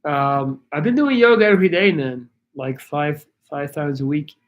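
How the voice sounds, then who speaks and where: A man from Belgium chatting casually on a livestream, answering viewer questions in a rambling, halting way with many restarts. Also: a little high, highs cut off. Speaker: YouTuber answering live chat.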